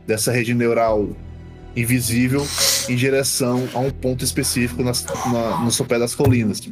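A man with a Brazilian accent improvising narration as game master, haltingly repeating words. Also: medium pitch.

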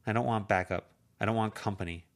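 A man's voice: on the low side, steady tone, fast, lightly acted. A man reading an amateur audiobook, voicing a furious teenage girl who hurls bitter, cutting insults. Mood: hard, curt.

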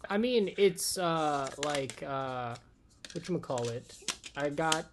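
A man's voice: a little high, animated, takes it slowly, background noise. A man in his twenties with a Californian American accent, chatting casually in a rambling way, saying "like" often and swearing now and then. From Oakland, California, USA. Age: 20s. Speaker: podcast guest. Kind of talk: casual conversation.

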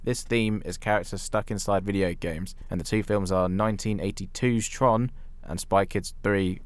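A man with a distinctly low voice hosts a film podcast. His delivery is casual and conversational, like chatting with friends about movies. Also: fairly even intonation, moderate pace, no room sound. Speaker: podcast host.

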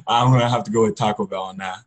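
A teenage male athlete answers interview questions casually. His voice is fairly low and very flat in melody, with little rise or fall as he talks. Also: racing. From Congo, later the US Pacific Northwest. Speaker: high school football player, podcast guest.

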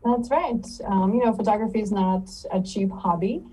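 A woman's voice: medium pitch, normal speed, slightly rough, distant mic, low-quality recording. A woman from Ukraine, an English teacher and photographer, talking casually as a podcast guest with an easygoing manner. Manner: relaxed, laughs easily, many fillers. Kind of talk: casual interview talk.

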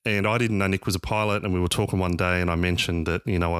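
A man's voice: low voice, fairly even intonation, fast talker, fairly bright tone, clean tone, no echo, studio quality. A man hosting an aviation podcast, who reads a scripted opening and then chats casually, prompting his guest with questions. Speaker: podcast host and pilot. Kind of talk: scripted intro, then casual interview chat.

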